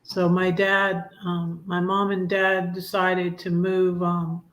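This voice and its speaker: High, bright-voiced American man. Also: monotone, slow pace, rough recording. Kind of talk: casual group chat.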